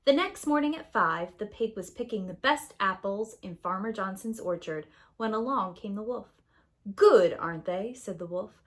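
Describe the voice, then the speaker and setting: A woman's voice: mid-range voice, normal speed, few pauses, fairly bright tone. A woman narrating a scripted children's story as a preschool teacher would.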